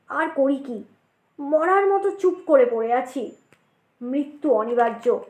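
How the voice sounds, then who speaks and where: Woman reading a spooky story dramatically, really high voice, echoey sound. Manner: theatrical, suspenseful storytelling. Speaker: audio story narrator. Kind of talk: dramatic first-person ghost story narration.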